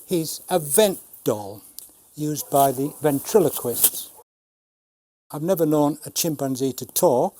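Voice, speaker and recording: A man in his seventies, a puppeteer, explaining and showing his craft in a measured way with dry humour. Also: mid-range voice, mellow, no echo. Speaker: puppeteer, Punch and Judy showman. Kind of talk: informal talk demonstrating puppets.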